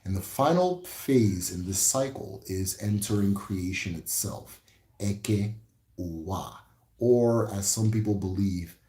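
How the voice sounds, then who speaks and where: A man with an Igbo accent talks through a livestream lesson on spiritual ideas in a low, mellow voice. His delivery is relaxed and reflective, and he repeats key phrases and keeps checking in with "right?". The sound is roomy and echoey. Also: slow pace. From Igbo, Nigeria. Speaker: spirituality teacher hosting a livestream. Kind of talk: casual livestream teaching.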